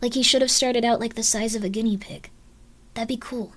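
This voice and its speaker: Chatty young woman streamer, bouncy pitch. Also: steady tone, a little fast. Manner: rambling, lots of "like" fillers, laughs often, swings up and down in pitch. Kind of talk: casual live-stream chat reading viewer comments.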